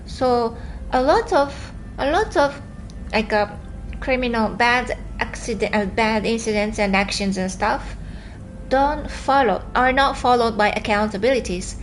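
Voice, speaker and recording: High-voiced woman with a Japanese accent. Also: slight background noise, low-quality recording. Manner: earnest, fast-talking, with halting restarts. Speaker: live streamer reading viewer chat. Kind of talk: casual live stream chat.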